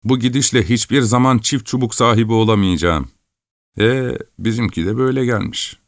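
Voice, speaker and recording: A man's voice: brisk, no room sound, natural voice. A sturdy, naive young peasant lad, as a male reader puts him on talks in a raised, whining voice that keeps rising in complaint. The sound is close and dry. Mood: plaintive. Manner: whiny, plaintive, rising pitch.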